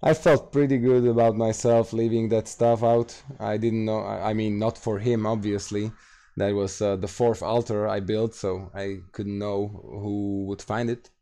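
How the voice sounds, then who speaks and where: Tired slovak guy on a late gaming stream, low gravelly voice, lots of uhs and restarts. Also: level tone, warm tone. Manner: halting, many fillers and restarts, reads out chat. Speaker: gaming livestreamer. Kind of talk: casual livestream chat, answering viewer questions.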